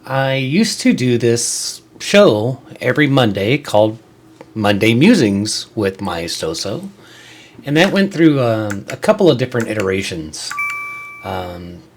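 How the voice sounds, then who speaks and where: A man hosting his own creator show, talking to viewers in a casual, conversational way with frequent halting pauses. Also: mid-range voice, big pitch swings. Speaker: host of a creator video show, musician. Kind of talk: casual scripted-and-ad-libbed vlog to viewers.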